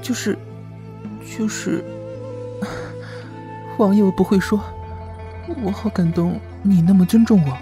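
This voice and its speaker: A performer playing a meek, devoted young male servant in a Chinese costume audio drama, soft, timid and pleading. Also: medium pitch, measured pace, pauses often, music in the background, natural voice. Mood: tearful, pleading.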